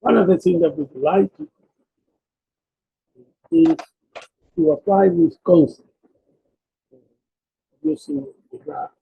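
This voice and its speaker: Gravelly, higher-pitched man presenting haltingly. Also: narrow, tinny audio. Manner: halting, repeats and rephrases phrases. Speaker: presenter of a technical talk. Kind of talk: explanatory academic slide presentation.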